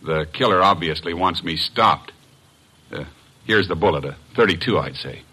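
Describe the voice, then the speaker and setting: Deep, husky, earnest detective's client. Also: male voice, normal speed, pushed and bright, exaggerated voice. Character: earnest, anxious male client cleared of murder. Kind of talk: 1940s radio detective drama acting.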